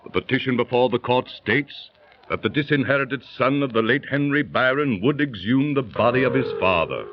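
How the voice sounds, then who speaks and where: An actor playing a solemn, cautionary judge in declamatory 1930s radio drama style. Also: male voice, mid-range voice, moderate pace, natural voice. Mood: grave. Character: grave, cautionary male judge haunted by a warning. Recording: muffled, faintly noisy 1930s radio recording.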